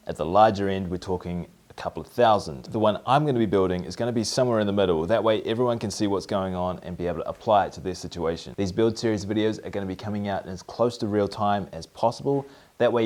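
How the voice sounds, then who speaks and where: A man in his thirties with a New Zealand accent talks to camera from his garage workshop. His voice sits a little low, and he explains with upbeat energy and lively hand gestures. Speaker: maker video host. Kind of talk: explanatory maker vlog. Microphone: camera at mid-distance, framed from the waist up.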